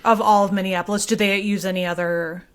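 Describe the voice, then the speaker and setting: A woman's voice: mid-range voice, steady tone, fast talker, smooth. A woman with an American accent chatting casually as a guest journalist on a talk show. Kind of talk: casual chat.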